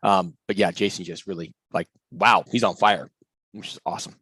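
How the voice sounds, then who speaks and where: A man leads a live coding class over a video call, his voice on the low side and recorded close and dry. He talks in an eager, rambling way, full of fillers and restarts, with no room sound around him. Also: fairly even intonation, moderate pace, bright, forceful tone, breathy edge, no room sound. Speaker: coding course instructor.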